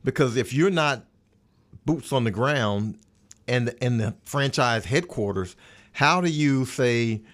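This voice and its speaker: A man's voice: medium pitch, animated, slow, a bit bright. A man talking sports casually as a podcast panelist, emphatic and repeating words for stress. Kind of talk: casual sports roundtable discussion.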